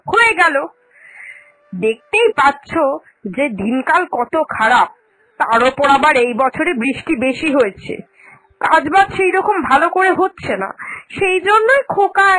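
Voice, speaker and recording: A stern older mother-in-law voiced by a woman for a cartoon, high and piercingly bright. She scolds defensively, her pitch moving up and down a great deal. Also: no echo, exaggerated voice, rough recording. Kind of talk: cartoon voice acting.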